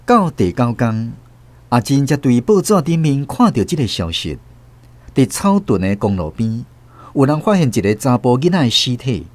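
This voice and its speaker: A man's voice: medium pitch, sing-song, moderate pace, dry recording. A man with a Taiwanese accent narrating a radio drama in a dramatic, scripted storytelling style.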